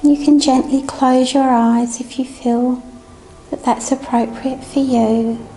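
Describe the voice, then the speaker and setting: Slow, high-voiced woman guiding meditation. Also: little pitch movement, rough recording. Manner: gentle, soothing, unhurried instructions. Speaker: meditation guide. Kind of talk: guided meditation. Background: music.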